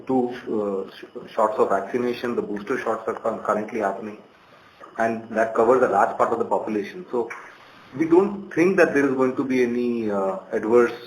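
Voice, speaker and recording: A man with an Indian accent speaking as a company executive, giving measured, halting explanatory answers on a conference call. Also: medium pitch. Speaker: bank executive answering analyst questions. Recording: roomy conference call, faint noise.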